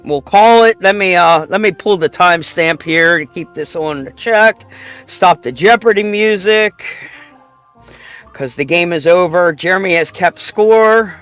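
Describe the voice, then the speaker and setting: A man hosting a show, running a playful quick-fire question game with a guest, joking and laughing often. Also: high-pitched, a bit bright, smooth, soft background music. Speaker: show host.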